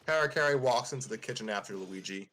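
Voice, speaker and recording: Lively male casual story narrator. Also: fairly low pitch, fast. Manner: informal, breaking off to comment. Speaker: narrator and reader. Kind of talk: casual fan script narration.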